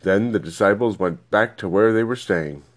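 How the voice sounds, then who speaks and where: A man's voice: lowish voice, normal speed. A man reading scripture aloud as scripted narration. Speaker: Bible reader.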